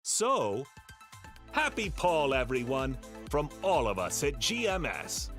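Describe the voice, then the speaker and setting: A man delivering scripted announcer bits for a morning show in a theatrical, drawn-out way. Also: medium pitch, big pitch swings, fairly bright tone, faint music behind.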